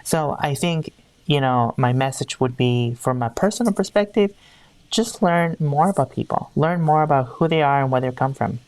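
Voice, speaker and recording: A woman's voice: rumbling low, normal speed, darkish tone, no room sound, rough recording. A woman from Peru, an HR executive speaking earnestly as an interview guest, often restarting her sentences. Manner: earnest, searching for words with restarts. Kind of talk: conversational interview.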